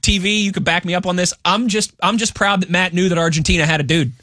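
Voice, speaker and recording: American guy hosting sports radio, quick casual banter, slightly high voice, dry studio sound. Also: fairly even intonation, bright, forceful tone, no room sound.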